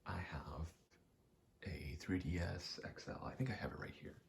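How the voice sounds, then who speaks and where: Very deep, gravelly, soft-spoken man. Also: normal speed. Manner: soft-spoken, often dropping into whisper; slangy, profane, meandering. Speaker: ASMR video host. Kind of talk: ASMR ramble, casual storytelling.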